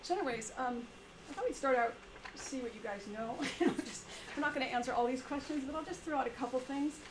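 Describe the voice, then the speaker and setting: A woman with a quiet voice leading a group discussion at a meeting, talking in a halting way with many fillers and restarts. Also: sits high. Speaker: meeting presenter. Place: meeting room with a small group. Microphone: shared room mic for a video stream. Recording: echoey room, background noise.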